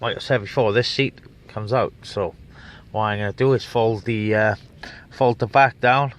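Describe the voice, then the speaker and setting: A man chatting on a CB radio net from inside his parked vehicle, pausing often on fillers. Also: fairly low pitch, fairly even intonation. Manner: chatty, with many filler pauses. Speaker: CB radio operator. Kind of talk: casual radio chat and vlog talk.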